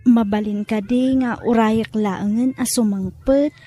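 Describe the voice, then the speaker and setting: A woman acts as a young granddaughter in radio drama. Her voice is fairly high, and she sounds sad, polite and plaintive. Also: steady tone, a little slow, mellow, no room sound. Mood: pleading. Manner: plaintive, respectful. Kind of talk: Ilocano radio drama acting.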